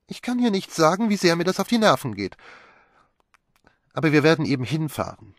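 A man voicing a flippant, restless young woman who talks with quick, mocking sharpness in an audiobook. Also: on the high side, sing-song, no room sound, clean studio sound, pitched above the performer's own voice. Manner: teasing, quick and sharp-tongued. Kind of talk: audiobook character voice.

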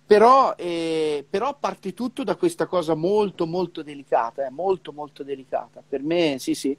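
A man's voice: on the high side, moderate pace, fairly bright tone, no room sound. A middle-aged man chatting casually as a trumpeter on a video call, often repeating syllables as he searches for words. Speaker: professional trumpeter. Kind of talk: casual conversation about music practice. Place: indoor room, remote video call. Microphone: wired earphone mic on a video call.

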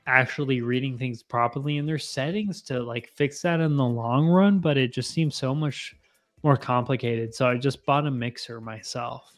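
Young male livestream host, rambling and self-deprecating, close boom mic, faint music underneath. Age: 20s. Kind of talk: casual live chat with viewers. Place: home bar with bottle shelves, indoors. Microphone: boom mic in front of face.

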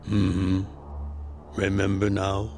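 A man playing a calm, shrewd older yakuza patriarch in a video game, gravelly and measured. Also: low voice, noisy background, exaggerated voice. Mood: calm, knowing. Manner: measured, knowing. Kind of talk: video game cutscene acting.

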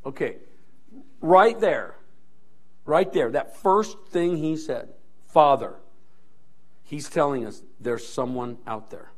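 A man's voice: fairly high pitch, slow, pauses often. A middle-aged male pastor delivering a sermon on a church stage into a handheld mic, emphatic and repeating key phrases as he moves around. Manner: animated, strolling the stage and gesturing.

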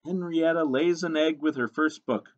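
A man's voice: a little high, steady tone, normal speed, highs cut off, voice pushed up, natural voice. A man voicing a pompous, scornful book reviewer in a storybook, with withering delivery.

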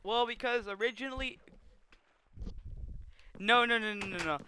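A man's voice: extremely high pitch, moderate pace, sharp tone, clean tone. A young man streaming a block-building game, chatting casually to his viewers, rambling and restarting his sentences. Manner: rambling, chatty, trips over restarts while talking to chat. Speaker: live streamer. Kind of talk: casual gameplay commentary.

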